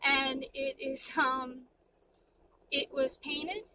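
A woman's voice: high-pitched, fairly even intonation, extremely slow, distant mic, noisy background. Upbeat woman vlogging on a phone. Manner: chatty, upbeat and enthusiastic. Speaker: sailing vlog host. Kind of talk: casual chat. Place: outdoors at a boatyard, then inside a car. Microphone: phone camera held at arm's length.

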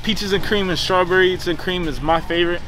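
A man's voice: high-pitched, steady tone, brisk, low-quality recording. Upbeat young man vlogging while shopping. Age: 20s. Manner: upbeat, chatty, talks to viewers directly. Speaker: fitness vlogger. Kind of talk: casual vlog while shopping. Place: grocery store.

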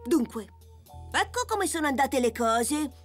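Calm little cartoon blob detective guy, high voice, very sure of himself, music under it. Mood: calm, self-assured. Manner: deliberate, self-assured explaining. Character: calm, methodical cartoon detective, a male shape-shifting blob creature. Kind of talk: cartoon dub acting.

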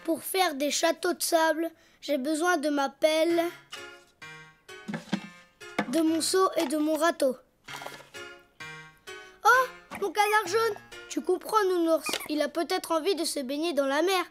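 Small cartoon donkey boy, very high-pitched, childlike, imaginative, proud of himself. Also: measured pace, pauses often, fairly bright tone. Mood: cheerful, busy. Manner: chirpy, childlike, boastful. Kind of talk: French children's cartoon acting. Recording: faint music behind.

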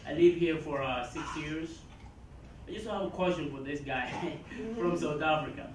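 A man from Mali asking a question from the floor in halting, joking talk, in a hotel meeting room. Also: fairly high pitch. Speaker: audience member asking a question. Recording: echoey room, noisy, distant mic.

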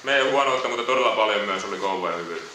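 A basketball player answering postgame questions, hesitant and repeating words. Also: male voice, on the low side, bright, forceful tone, slight background noise. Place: echoey press room. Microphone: distant room mic. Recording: echoey room audio from far off.

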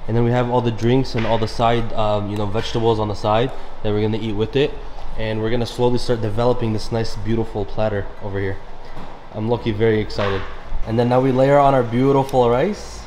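A man's voice: on the low side, fairly even intonation, brisk, darkish tone, slight background noise. A young man chatting through a cooking session in a big home kitchen, upbeat and gushing about the food. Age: young adult. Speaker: cooking vlogger. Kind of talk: casual cooking commentary.

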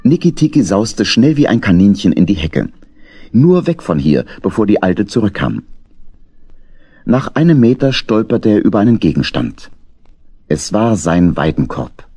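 Deep, husky male storyteller. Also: big pitch swings, normal speed, dark tone, no room sound. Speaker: narrator. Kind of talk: dramatic scripted children's story narration.

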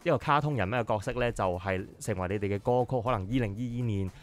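A man's voice: fairly low pitch, fast talker. A man in his thirties with a Hong Kong Cantonese accent, hosting a casual radio interview in a studio and talking in a chatty, teasing way. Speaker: radio host. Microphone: studio boom mic.

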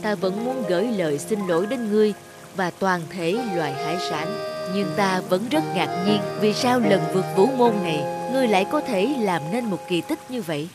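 A woman voicing a stern, dignified old male heavenly messenger in an animated fairy tale, formal and commanding. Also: medium pitch, normal speed, loud music behind the voice, natural voice. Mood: apologetic.

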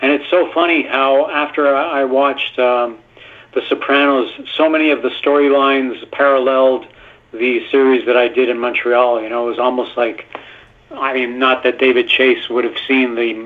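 A man's voice: mid-range voice, flat delivery, moderate pace. A man from Canada, a guest actor, telling stories in a rambling way full of fillers. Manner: rambling, filler-heavy storytelling. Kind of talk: casual phone-in interview. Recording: tinny phone line.

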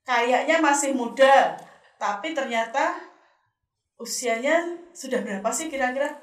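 Lively middle-aged woman hosting. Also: high-pitched. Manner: lively and chatty, quick to laugh. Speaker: podcast host. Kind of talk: casual interview chat. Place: studio set with a wooden wall. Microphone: desk mic on a table, some distance away. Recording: echoey room, mic at a distance.

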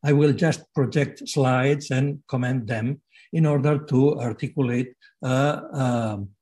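A man's voice: mid-range voice, steady tone, slow pace, darkish tone. A man in his sixties with a Catalan accent, giving a hesitant lecture by video call from a home study, with many fillers and restarts. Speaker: guest lecturer. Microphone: laptop webcam on video call.

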